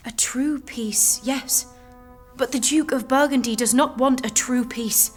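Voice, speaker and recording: A woman's voice: high voice, measured pace, faint music behind. A young woman playing a determined, devout eighteen-year-old warrior maiden in an audio drama, speaking earnestly, firmly and bluntly. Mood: defiant, urgent. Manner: earnest, firm, outspoken. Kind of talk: historical audio drama acting.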